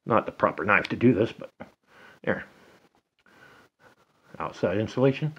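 A middle-aged man giving a casual hands-on repair tutorial at a table indoors, talking slowly and haltingly, half to himself as he works. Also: on the low side, animated, highs cut off, slight background noise. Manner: slow, halting, talks to himself while working. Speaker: DIY hobbyist demonstrating a repair.

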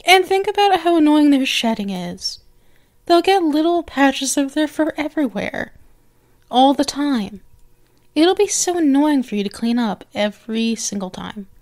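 Woman acting a high-voiced, possessive snake girl. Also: lively intonation, unhurried, a little mellow. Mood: possessive, coaxing. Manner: coaxing, hesitant, trailing off and restarting. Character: possessive, eager young female lamia, a snake girl monster. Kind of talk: ASMR roleplay acting.

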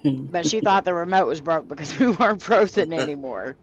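A woman hosting a live stream over a video call, telling stories in a chatty, animated way and laughing as she goes. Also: low voice, a little fast, a little hiss or hum. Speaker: host of a paranormal live stream. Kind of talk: casual storytelling chat. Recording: slightly muffled video-call audio.

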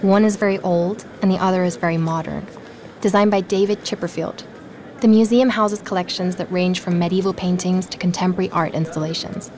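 An actress playing an inquisitive, persuasive woman podcaster in an audio drama, narrating and chatting in a measured, natural way. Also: lowish voice, steady tone, a little mellow, slight background noise, natural voice. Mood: reflective. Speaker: podcast narrator and lead character.

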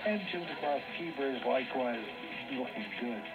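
A man's voice: medium pitch, expressive, moderate pace. Muffled AM radio man reading traffic. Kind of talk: news radio traffic report. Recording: muffled AM radio, loud music behind. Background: loud music.

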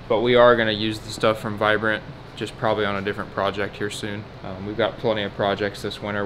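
A man's voice: lowish voice, noisy background. A man explaining parts and project plans in a plain, matter-of-fact way. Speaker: shop crew member. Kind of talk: casual shop talk.

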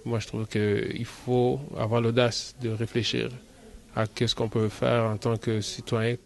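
A man's voice: mid-range voice, flat delivery, a little slow, vocal fry, darkish tone. A man, speaking earnestly and emphatically in a vox pop.

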